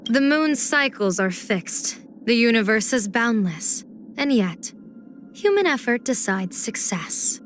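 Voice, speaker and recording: A woman playing a playful, scheming, poised general and diviner in a video game, speaking in measured, teasing, elegant phrases. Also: medium pitch, slight background noise. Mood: solemn, musing. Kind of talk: video game character voice acting.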